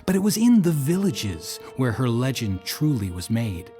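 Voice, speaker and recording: A man reading scripted dramatic narration in a measured, solemn storytelling manner. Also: medium pitch, sing-song, warm tone, faint music behind.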